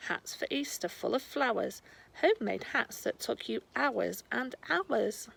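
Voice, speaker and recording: A woman reading a rhyming children's storybook aloud in a deliberate storytelling manner. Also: fairly high pitch, lively intonation, vocal fry, a bit bright, breathy edge, poor audio. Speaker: storybook reader. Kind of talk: scripted children's rhyme read aloud.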